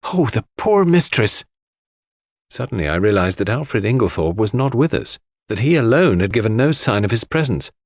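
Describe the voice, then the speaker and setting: A man's voice: lowish voice, lively intonation, a little fast, few pauses, dry recording, studio quality. A man reading scripted first-person literary narration as an audiobook narrator.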